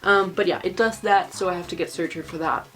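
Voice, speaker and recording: A woman's voice: lowish voice, steady tone, quick pace, fairly bright tone, soft background music. A young woman from Denmark with a Danish accent, chatting casually in a vlog and rambling with many fillers. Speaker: vlogger.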